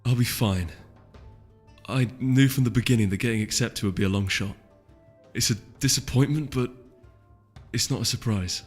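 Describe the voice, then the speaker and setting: A man's voice: medium pitch, moderate pace, pauses often, slightly rough, natural voice. Man as a wry young stallion, reverberant. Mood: downcast, shrugging. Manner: wry, nonchalant. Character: young male pony, wry, teasing, hiding disappointment. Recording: long reverb with music underneath.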